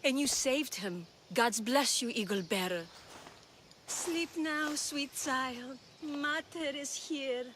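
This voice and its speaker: High, bright, devout young mother. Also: slow, slight background noise. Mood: tender, relieved. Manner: emotional, earnest. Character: pleading, devout young mother and cult follower. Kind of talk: dramatic video game voice acting.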